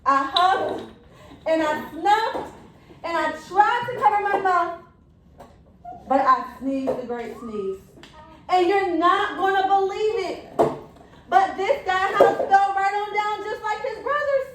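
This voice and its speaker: A woman's voice: very high-pitched, expressive, slow pace, voice pushed up, full character voice. A woman voicing an indignant storybook wolf who tells his side of things with wry, theatrical, mock-innocent self-pity. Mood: matter-of-fact, self-justifying. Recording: very echoey room, distant mic, faint noise.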